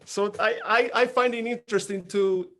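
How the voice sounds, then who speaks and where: High-voiced man, halting speech. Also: fairly even intonation, fairly bright tone. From outside Brazil. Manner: halting, repeats words. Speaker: panelist percussionist. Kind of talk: panel discussion.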